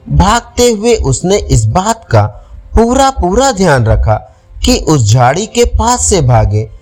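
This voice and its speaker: A man's voice: sits high, darkish tone. A man narrating a scripted children's fable as a storyteller.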